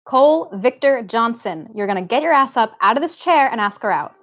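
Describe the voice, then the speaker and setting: A woman's voice: on the high side, expressive, fairly quick, fairly bright tone. A woman playing a supportive but bossy college friend in a script table read, with slightly muffled sound. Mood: stern.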